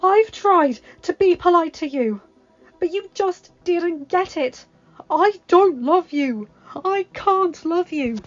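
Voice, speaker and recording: A woman doing a passionate, high-voiced young heroine. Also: normal speed, close to an everyday voice. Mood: defiant. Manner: high, pleading and impassioned. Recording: dull lo-fi sound with music.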